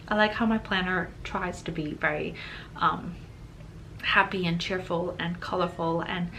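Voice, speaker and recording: A woman's voice: lowish voice, bright, forceful tone, slight background noise, poor audio. A woman in her thirties talks through her day in a loose, chatty voice-over. She rambles a little and leans on "um" and "like." Her voice is slightly off from a cold she is still getting over. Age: 30s. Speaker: planner vlogger. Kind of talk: casual voice-over narration.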